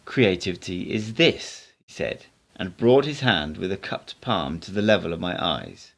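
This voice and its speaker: Low-voiced man reading an audiobook. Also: sing-song, moderate pace, vocal fry, fairly bright tone. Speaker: amateur audiobook reader. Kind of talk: scripted audiobook narration with acted dialogue.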